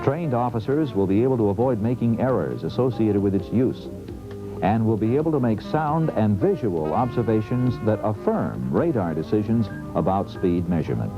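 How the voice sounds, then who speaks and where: A low-pitched man narrates an instructional film with formal, measured delivery and lively intonation. The sound is dull and lo-fi, like an old training tape, with music playing clearly behind him. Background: clear background music.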